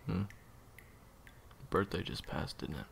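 Man acting a low, gravelly sardonic assassin. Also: lively intonation, slow, background noise, heavily acted. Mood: wry, tense. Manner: hesitant, dry, sarcastic. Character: sardonic male assassin captor, weary and evasive. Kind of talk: audio roleplay drama.

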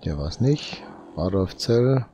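A man with a cold talks through his gameplay in a low, unhurried voice. He mutters to himself and drags his words out, often tagging a quick "ne?" onto the end. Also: expressive, mellow, slight background noise. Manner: muttering, drawn-out, tags lines with "ne?". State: has a cold. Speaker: let's play host. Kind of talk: slow, thinking-aloud gameplay commentary.

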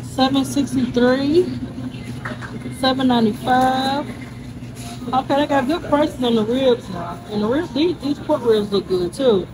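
A woman's voice: sits high, a little slow. A woman, chatting to viewers as she shops and reads prices aloud. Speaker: vlogger. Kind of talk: casual shopping vlog. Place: indoor meat store, supermarket aisles. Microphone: phone held by hand, selfie camera. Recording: phone audio with clear store noise.

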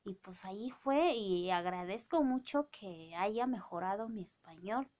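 Slow-talking indignant Mazatec woman vlogger. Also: medium pitch, lively intonation, darkish tone, highs cut off. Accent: Mazatec-accented Mexican Spanish. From Oaxaca, Mexico. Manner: indignant, scolding, drawn-out speech with many fillers. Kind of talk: casual opinion rant to camera. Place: small indoor room with bookshelves. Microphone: phone camera at arm's length.

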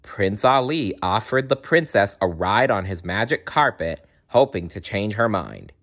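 A man reading a children's storybook aloud in an animated style, with playful asides to the listener. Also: fairly low pitch, measured pace. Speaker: storybook reader.

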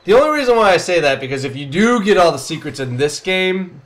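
A man's voice: high voice, very animated, fast talker. A man in his thirties chatting casually and joking with lots of swearing as he plays a video game on a couch. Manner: rambling, joking, heavy swearing. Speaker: let's play host playing a video game. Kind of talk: casual chat and gameplay commentary. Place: living room couch, indoors.

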